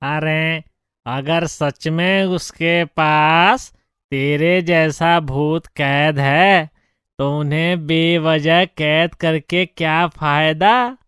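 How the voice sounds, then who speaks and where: A man voicing a shrewd elder-brother ghost in a cartoon story, chiding in an incredulous, drawn-out manner. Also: on the high side, fairly even intonation, fairly bright tone, clean tone, no room sound, clean studio sound. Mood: scolding. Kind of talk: Hindi cartoon story acting.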